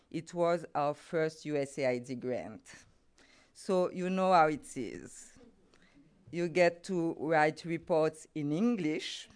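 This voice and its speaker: Middle-aged Haitian-accented woman, non-native English, unhurried delivery, smooth clear tone. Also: deep voice. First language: Haitian Creole or French. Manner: careful, deliberate, formal phrasing. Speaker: guest speaker, NGO program head. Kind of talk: prepared conference talk.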